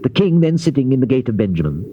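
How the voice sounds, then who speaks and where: A man giving a solemn, theatrical, measured reading of dramatized scripture in archaic English, on an old cassette tape. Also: mid-range voice, sing-song, highs cut off, a little hiss or hum. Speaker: scripture narrator playing the prophet Jeremiah. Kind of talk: dramatized King James Bible reading.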